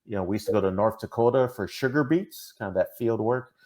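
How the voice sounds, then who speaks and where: Halting man with a Minnesotan accent. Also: lowish voice, a little mellow. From Minnesota, USA. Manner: hesitant, many fillers and restarts. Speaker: podcast guest. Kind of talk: casual interview talk.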